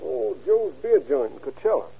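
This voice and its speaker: Folksy, higher-voiced local man. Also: big pitch swings, normal speed, vocal fry, breathy edge, full character voice. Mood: easygoing. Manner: casual, colloquial. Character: easygoing, folksy local man being questioned. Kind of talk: old radio crime drama acting. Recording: muffled, noisy vintage radio with hum.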